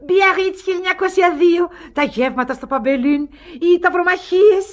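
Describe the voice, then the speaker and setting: High-voiced, effusive old society lady. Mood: delighted, gushing. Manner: theatrical, effusive. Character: whimsical, bored, aristocratic lady in her sixties. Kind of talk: radio play acting.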